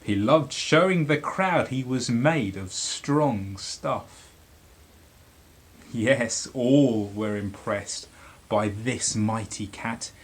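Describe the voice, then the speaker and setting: A middle-aged man reading a children's story aloud, dramatically and with deliberate pauses. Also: medium pitch, husky. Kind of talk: expressive children's storybook narration.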